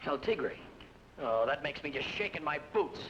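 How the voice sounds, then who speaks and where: A cocky young outlaw cowboy. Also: male voice, on the high side, animated, a bit bright. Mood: defiant. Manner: cocky, quick-tempered western talk. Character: brash young outlaw cowboy brother, defiant. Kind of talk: 1940s radio acting. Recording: muffled old radio broadcast with hiss.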